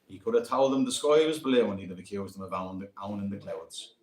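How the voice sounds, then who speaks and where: A man's voice: on the low side, expressive, fairly quick, a little mellow. A man narrating with a dry, wry storytelling manner at a live reading. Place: community centre hall, live event. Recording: roomy hall echo.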